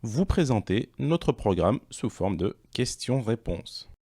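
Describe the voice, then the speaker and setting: A man presenting an online language course, reading questions and answers in a measured, explanatory way. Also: mid-range voice, very animated, darkish tone.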